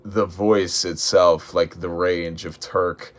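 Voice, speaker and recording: A young American man in his early twenties with a deep speaking voice, talking casually to camera as a vlogger. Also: fairly even intonation, slow. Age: early 20s. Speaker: vlogger and amateur musical theater performer.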